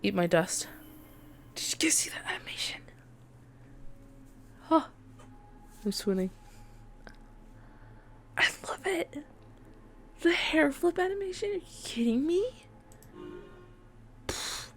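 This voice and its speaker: A woman's voice: very high-pitched, very animated, takes it slowly, pauses often, fairly bright tone, whispered. A woman giving casual gameplay commentary, with reactive, gushing asides. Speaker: gaming video creator.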